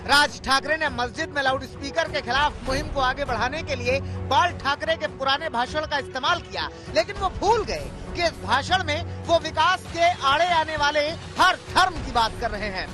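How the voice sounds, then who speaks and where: A man's voice: extremely high pitch, expressive, normal speed, few pauses, music in the background. A man reading a scripted television news report as a reporter.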